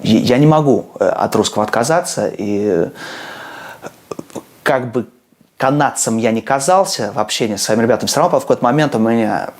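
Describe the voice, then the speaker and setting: A man's voice: medium pitch, lively intonation, fairly quick, darkish tone. A young man with a mid-range voice talks casually, with some hesitation. He sounds natural and unpolished in a documentary interview.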